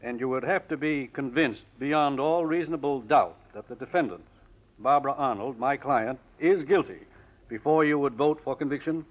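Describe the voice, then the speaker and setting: An actor playing a polite, probing defense attorney who questions in a measured, courteous way, in 1940s radio drama style. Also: male voice, mid-range voice, close to an everyday voice. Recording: muffled old radio broadcast recording.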